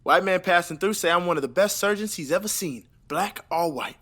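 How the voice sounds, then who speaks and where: A man's voice: high voice, a little fast, fairly bright tone. A young man playing an earnest, ambitious newlywed husband in an audio play set in the 1920s, with a hesitant, dreamy manner. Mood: hopeful. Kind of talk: stage play audio reading.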